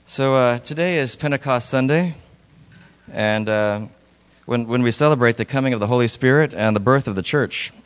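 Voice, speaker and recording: A middle-aged man from Lebanon, a missionary, speaking at a church in a halting, folksy way with many fillers. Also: medium pitch. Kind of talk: church talk with slides. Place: church sanctuary.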